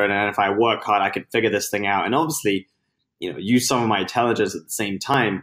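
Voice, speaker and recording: A young British man from London, his voice sitting on the low side. He talks in an upbeat, chatty way as a podcast guest and laughs lightly now and then. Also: a bit bright. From London, England. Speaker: podcast guest, Amazon seller. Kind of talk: casual interview chat.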